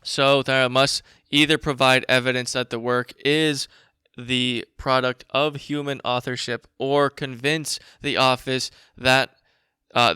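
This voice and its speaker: A man hosting a casual commentary show, reading documents aloud and remarking on them in a blunt, informal, profane way, with a slight rasp. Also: medium pitch, sharp tone, no echo, clean studio sound. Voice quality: raspy. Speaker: show host.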